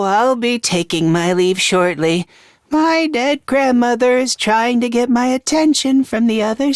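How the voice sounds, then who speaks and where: Casting: fragile, timid teen boy for a video game; high androgynous voice, slow and hesitant, swinging widely in pitch. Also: fairly bright tone, no room sound, studio quality, exaggerated voice. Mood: timid, pleading. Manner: timid, hesitant, stammering, emotionally volatile. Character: anxious, self-deprecating, frail teenage boy, unstable under strain. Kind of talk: video game voice acting, dramatic.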